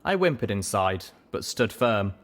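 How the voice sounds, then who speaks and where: A man reading a first-person story aloud as an expressive scripted narration. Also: lowish voice, measured pace.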